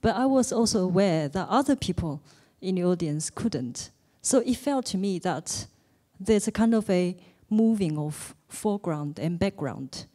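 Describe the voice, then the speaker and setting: A woman's voice: fairly low pitch, lively intonation, measured pace, warm tone. A woman in a cinema audience asking a careful, polite question into a roving mic. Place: cinema auditorium. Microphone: roving handheld mic.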